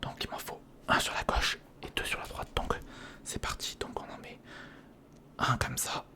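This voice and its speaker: A man whispering in a breathy, unvoiced ASMR style, giving informal and slightly hesitant build-along commentary close to the microphone. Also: moderate pace, bright, forceful tone. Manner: hesitant, informal, muttering to the listener. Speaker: ASMR video host. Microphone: close recorder microphone.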